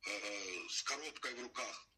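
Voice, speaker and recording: Middle-aged man, halting and distant. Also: lowish voice, a crowd in the background. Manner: halting, repeats filler phrases and restarts. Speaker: interviewee, father of a detained son. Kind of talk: casual spoken testimony.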